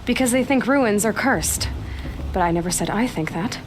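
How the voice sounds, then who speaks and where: Woman voicing young huntress for a game, proud n guarded, sharp tongue, bit of music under it. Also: medium pitch, expressive, moderate pace, close to an everyday voice. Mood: guarded, questioning. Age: young adult. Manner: sharp-tongued, self-assured. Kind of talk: video game voice acting.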